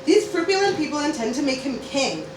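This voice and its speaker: A woman's voice: high voice, big pitch swings, brisk. A woman playing a bold, loyal teenage cartoon granddaughter plotting in a mock-Shakespearean amateur radio play. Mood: resolute. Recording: roomy, distant, noisy amateur group recording.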